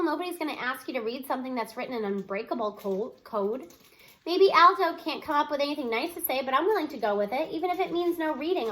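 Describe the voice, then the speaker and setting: High-voiced woman reading a story aloud. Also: sharp tone, rough recording. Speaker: read-aloud narrator. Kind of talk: expressive children's novel read-aloud, first person.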